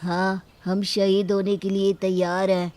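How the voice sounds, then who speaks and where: A woman's voice: medium pitch, flat delivery, measured pace, a little mellow, pitched below the performer's own voice, close to an everyday voice. A woman voicing a cheeky young adult son in a cartoon family comedy, sarcastic, whiny and melodramatic.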